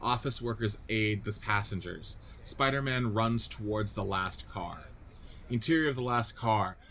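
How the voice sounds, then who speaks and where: A man's voice: on the low side, unhurried, fairly bright tone, slight background noise. A man reading scripted narration and stage directions for a radio play.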